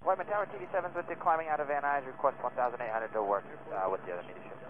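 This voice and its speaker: A male media helicopter pilot speaks extremely fast and tersely over aircraft radio. The narrow signal carries light background noise. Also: medium pitch. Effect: aviation radio. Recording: tinny aircraft radio with faint engine noise.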